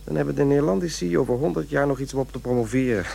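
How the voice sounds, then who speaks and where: A man's voice: mid-range voice, rapid-fire, creaky, mellow, husky. An actor playing a fussy, self-important man who dictates his journal and mutters corrections, in a radio play. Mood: solemn, self-pleased.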